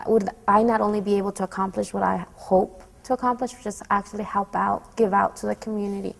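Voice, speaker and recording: A woman's voice: mid-range voice, gravelly, long reverb tail. A young woman from Honduras, a student, speaking earnestly and reflectively about her goals. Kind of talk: personal testimony.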